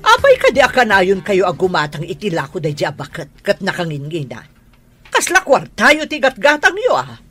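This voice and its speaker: A woman's voice: mid-range voice, wildly swooping pitch, moderate pace, a bit bright, breathy edge, faint music behind, heavily acted. An actress playing an indignant wife who argues sharply with her husband. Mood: scornful. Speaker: wife, lady of the house. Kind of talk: radio drama acting.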